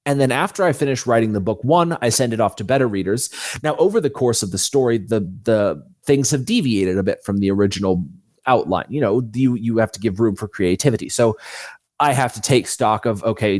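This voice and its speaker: Low-voiced man explaining his craft. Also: no echo, clean studio sound. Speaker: fantasy author, podcast guest. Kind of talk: conversational interview, explaining own process.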